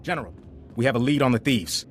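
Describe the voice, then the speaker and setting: An actor playing a grim government intelligence agent in a video game, talking in a brisk, businesslike manner. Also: male voice, medium pitch, expressive, slight background noise, lightly acted. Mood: grim briefing. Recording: faint music under the voice.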